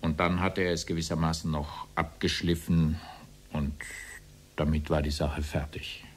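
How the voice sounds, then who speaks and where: An actor playing an evasive, deferential former SS officer testifying in formal, roundabout sentences in a radio play. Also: male voice, rumbling low, flat delivery, normal speed, slightly rough. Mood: explaining, careful. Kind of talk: radio play courtroom dialogue.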